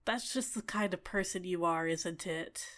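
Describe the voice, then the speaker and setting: A woman voicing a bubbly, clingy fairy with a sweetly menacing edge in an ASMR roleplay, talking in an excitable, coy, chatty way. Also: on the low side, normal speed, lightly acted. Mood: adoring, possessive. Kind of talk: ASMR roleplay, scripted character acting.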